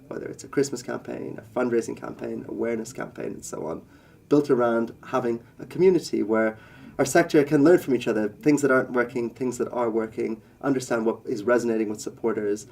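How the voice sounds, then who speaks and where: A man's voice: medium pitch, creaky, warm tone. A man from India, a company co-founder, giving earnest interview answers with many fillers and list-like phrasing.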